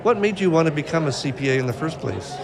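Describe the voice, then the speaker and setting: A man's voice: a little high, lively intonation, quick pace, gravelly. A man interviewing guests, asking friendly questions with brief upbeat replies. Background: crowd chatter.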